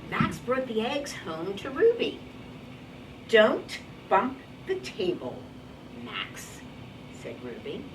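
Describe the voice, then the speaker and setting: A woman's voice: on the high side, wildly swooping pitch, takes it slowly. A woman teacher reading a picture book aloud to young children in a classroom, encouraging and instructive as she talks to them. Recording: distant mic, very noisy classroom.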